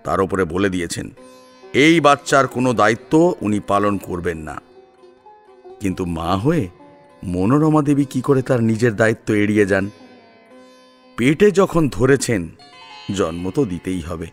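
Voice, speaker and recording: A man narrating a scripted story in an expressive, dramatic storytelling manner. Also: lowish voice, a little slow, long pauses, faint music behind. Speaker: narrator and co-presenter.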